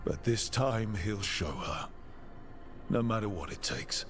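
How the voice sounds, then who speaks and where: An actor playing a serious, reflective fantasy game companion, speaking in a measured, weighty way. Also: male voice, on the low side, lively intonation, a bit bright, heavily acted. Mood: intent.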